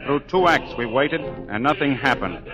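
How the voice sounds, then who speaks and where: An actor playing an earnest young man who narrates a mystery in a measured, dramatic 1940s radio drama style. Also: medium pitch, expressive, natural voice. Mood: suspenseful. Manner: measured, dramatic storytelling delivery. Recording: 1940s radio broadcast with background music.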